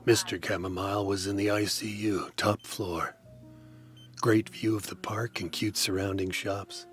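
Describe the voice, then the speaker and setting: A man narrating in hard-boiled first person as a detective, with a dry, wry, deadpan delivery. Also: on the low side, a little slow, faint music behind.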